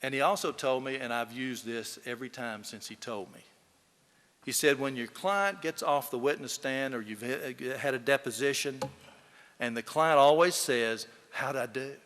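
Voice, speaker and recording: Man, bright forceful voice, folksy storyteller, eulogy speech, emphatic, long hall reverb. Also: mid-range voice, normal speed. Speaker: eulogy speaker, fellow lawyer.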